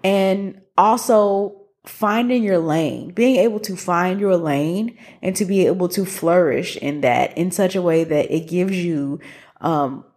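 A woman's voice: on the low side, takes it slowly, darkish tone. A woman hosting a podcast, reflecting on an episode and then interviewing a guest in a friendly way with some fillers. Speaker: podcast host.

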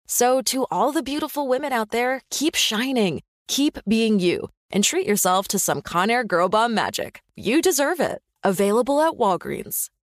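A woman with a bright, slightly high voice reads an ad, bubbly and encouraging. The recording is close and dry. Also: animated, normal speed, few pauses, no room sound. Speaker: ad presenter. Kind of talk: upbeat ad read.

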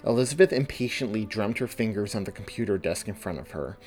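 A man reading his own story as a scripted audiobook narration, in an expressive, deliberate way. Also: lowish voice.

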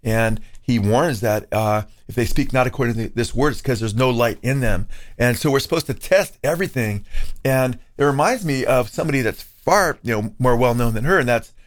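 A man in a relaxed talk-show conversation who keeps pulling in verses and the occasional Greek term. His voice sits at an ordinary middle pitch, and he talks in a loose, conversational way. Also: normal speed. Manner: conversational, quotes scripture with Greek terms. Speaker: co-host, pastor. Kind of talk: casual discussion. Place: California.

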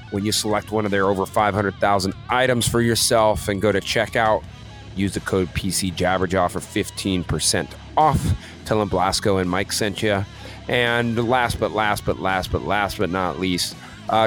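A man's voice: on the low side, fairly bright tone. A man co-hosting a podcast in a casual, conversational way, often dropping in "you know" as he talks. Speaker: podcast co-host, music career coach.

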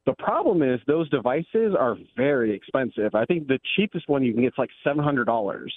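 American guy phoning a radio show, tinny line, medium pitch, casual explaining. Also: lively intonation, brisk, dry recording. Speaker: radio phone-in caller. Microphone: telephone. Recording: tinny, band-limited phone line.

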